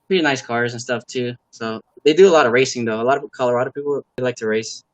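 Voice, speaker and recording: Male guest from LA, native Khmer speaker, mid-pitched, loose and rambling, dry close sound. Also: dry recording, poor audio. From Los Angeles, USA. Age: adult parent. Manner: halting, filler-heavy, laid-back. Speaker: guest, car builder and YouTuber. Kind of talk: casual interview chat.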